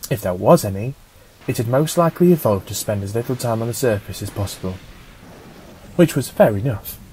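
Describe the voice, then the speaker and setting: A man reading a scripted story as an audiobook narrator, in a measured, dramatic storytelling manner. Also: medium pitch, lively intonation, warm tone, slight background noise, low-quality recording.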